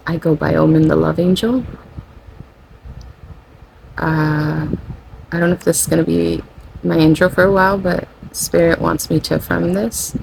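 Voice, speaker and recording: Slow, gravelly low-energy spiritual channeler. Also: darkish tone, a little hiss or hum, poor audio. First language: Spanish. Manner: rambling, self-correcting, with frequent "you know" and light laughter. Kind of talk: casual spiritual vlog monologue.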